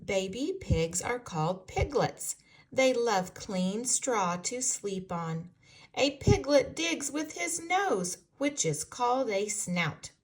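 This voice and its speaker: A woman reading a children's storybook aloud in an expressive, carefully enunciated storytelling way for young listeners. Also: fairly high pitch, takes it slowly, poor audio.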